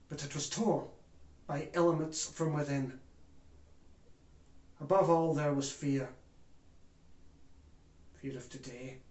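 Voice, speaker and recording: In an echoey room, a man acts out an anguished former German judge giving courtroom testimony. His voice sits fairly high for a man. He speaks in grave, deliberate, theatrical oratory, weighted with remorse. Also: close to an everyday voice. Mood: grave, subdued. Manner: grave, deliberate oratory with swells from hushed to loud. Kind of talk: theatrical dramatic monologue, courtroom speech.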